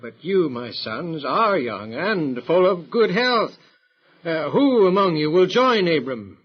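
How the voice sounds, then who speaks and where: Husky, high-voiced old patriarch. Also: male voice, sing-song, slow, heavily acted. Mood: earnest appeal. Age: older. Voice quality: husky, aged. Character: frail old patriarch grandfather, addressing his sons. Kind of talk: biblical audio drama acting. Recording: dull lo-fi radio drama recording.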